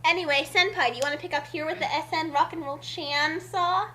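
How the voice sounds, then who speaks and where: A woman's voice: sits high, fairly even intonation, brisk, bright, forceful tone. A woman reading a story aloud dramatically and bantering jokingly with friends. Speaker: co-host reading fan fiction aloud.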